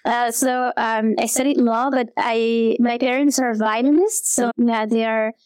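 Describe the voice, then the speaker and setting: A woman's voice: a little high, fairly even intonation, unhurried, a little mellow, clean tone, dry recording. A woman in her thirties from Belgium, a guest actor in a casual interview, speaking hesitantly with many fillers and restarts.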